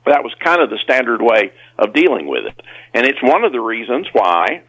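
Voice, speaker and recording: A man talks as a guest author in an interview. He explains things in a conversational way, often backing up to repeat or rephrase a word. His delivery is halting as he works through each point. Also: mid-range voice, big pitch swings, a bit bright, poor audio. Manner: halting, with repeated self-corrections.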